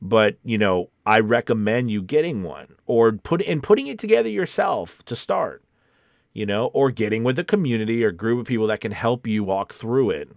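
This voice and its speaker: A man's voice: on the low side, lively intonation, moderate pace, creaky, no room sound, studio quality. A man hosting a casual livestream Q&A as a voice-over coach, talking in a conversational, rambling and encouraging way.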